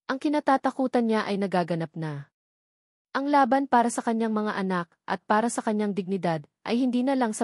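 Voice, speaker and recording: A woman's voice: medium pitch, darkish tone, no echo, studio quality. A woman with a Filipino accent reads a dramatic romance story as a scripted narration.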